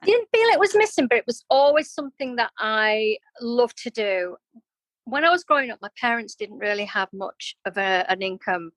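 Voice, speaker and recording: A woman's voice: a little high, animated, moderate pace, a bit bright, no echo, low-quality recording. A middle-aged woman with a Yorkshire accent touched by Welsh, chatting openly and expressively as an interview guest. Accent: Yorkshire with some Welsh influence. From Yorkshire and North Wales, UK. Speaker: interview guest, creative business owner. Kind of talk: conversational personal storytelling.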